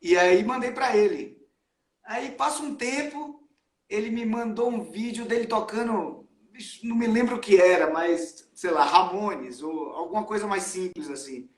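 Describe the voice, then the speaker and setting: A man's voice: high voice, normal speed. A Brazilian man hosting a music live stream, chatting casually and telling stories with plenty of slang. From Salvador, Brazil. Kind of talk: casual chat. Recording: roomy, echoey live stream sound.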